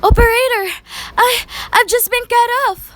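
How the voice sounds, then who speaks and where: A woman's voice: very high-pitched, steady tone, moderate pace, fairly bright tone. A teenage girl in an amateur radio play, playing an anxious, insistent, sickly woman alone at home. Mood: horrified, urgent. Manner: agitated, insistent, pleading. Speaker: student actor.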